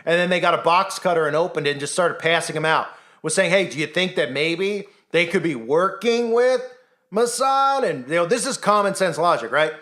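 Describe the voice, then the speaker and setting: A man with a slightly high voice talks as an online commentator in a loose, informal monologue. He is insistent and rhetorical, checking in with the listener as he goes. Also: expressive, normal speed, a bit bright. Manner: insistent, rhetorical, often tags statements with "right?".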